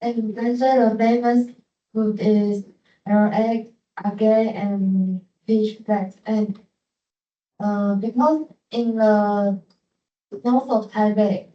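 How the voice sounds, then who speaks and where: A woman student from Taiwan giving a halting class presentation, searching for words. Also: medium pitch, fairly even intonation. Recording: echoey classroom, dull.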